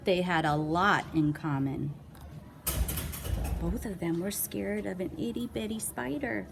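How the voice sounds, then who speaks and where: A woman's voice: fairly low pitch, a little slow, vocal fry. A woman reading a children's picture book aloud with playful, dramatic emphasis and little asides. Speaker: read-aloud storyteller.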